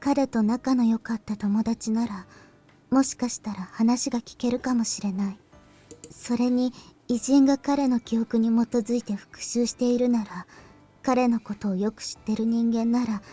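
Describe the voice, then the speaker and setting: A woman voicing a calm, reserved, thoughtful high school girl with a quiet, measured delivery in a visual novel. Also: faint music behind, lightly acted. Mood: calm, pensive. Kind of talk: anime-style visual novel voice acting.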